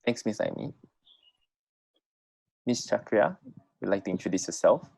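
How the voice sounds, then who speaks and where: A man's voice: fairly low pitch, lively intonation, normal speed, gravelly, darkish tone, breathy edge, no echo. A man moderating an online panel in a polite, semi-formal style. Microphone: online video call.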